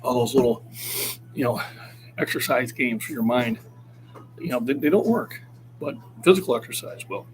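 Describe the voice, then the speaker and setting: A man's voice: medium pitch, expressive, moderate pace, pauses often, slight background noise, low-quality recording. A man in his sixties, a fitness coach talking casually on a livestream and urging viewers to keep active. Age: 60s. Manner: encouraging, motivational.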